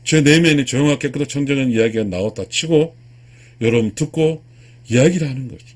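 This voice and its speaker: Husky man in his sixties, lecturing emphatically. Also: medium pitch, fairly quick, a little mellow. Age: 60s. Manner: earnest, emphatic, repeats and restarts key phrases. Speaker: lecturer, video channel host. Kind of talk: spiritual lecture addressed to viewers.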